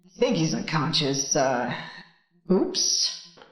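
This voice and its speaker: In a roomy echo, a woman plays a flustered scientist feigning calm in an audio drama. The delivery is hesitant and blurting, with pitch that jumps around. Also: slow pace, full character voice. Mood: flustered, sheepish. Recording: roomy, echoing sound.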